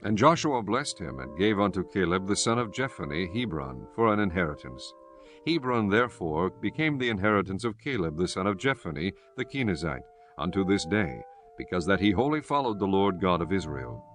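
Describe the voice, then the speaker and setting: Man, lowish voice, solemn audiobook narration, measured formal delivery, faint music behind. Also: very animated. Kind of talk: solemn scripted scripture narration. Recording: music under the voice. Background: soft instrumental music.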